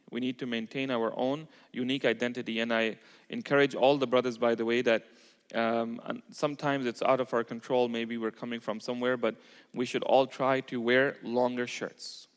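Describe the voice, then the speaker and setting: Male religious lecturer, informal teaching style, explanatory, slightly high voice, long hall reverb. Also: clear, resonant tone. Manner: explanatory, checks in with "okay" and "right". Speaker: Islamic lecturer at a community mosque. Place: large reverberant indoor hall.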